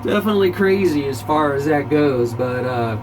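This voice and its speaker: Male CB hobbyist, slangy and rambling, slightly high pitch, casual chat, noticeably noisy recording. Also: animated, normal speed. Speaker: CB radio operator. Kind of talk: casual chat over CB radio. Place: back-room radio shack.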